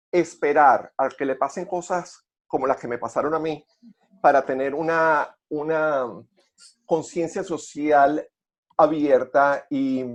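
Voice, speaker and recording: A man with a Venezuelan accent telling personal stories conversationally, haltingly repeating words as he searches for phrasing. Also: a little high. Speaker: podcast co-host.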